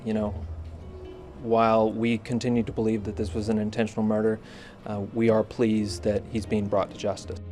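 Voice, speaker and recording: A man giving a halting, understated statement to the press as a prosecutor. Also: lowish voice, completely flat delivery, dark tone, soft background music.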